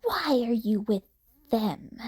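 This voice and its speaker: Sullen young male villain, played by a woman narrator: husky, slow, sardonic menace for an audio story. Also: fairly high pitch, very animated, darkish tone, full character voice. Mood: bitter.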